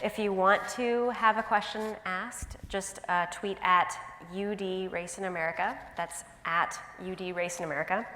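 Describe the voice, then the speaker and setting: A woman professor moderates an onstage talk, asking measured questions with small filler pauses. Her mid-pitched voice sounds roomy and carries a long hall reverb. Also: steady tone, pushed and bright, slight background noise. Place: university auditorium.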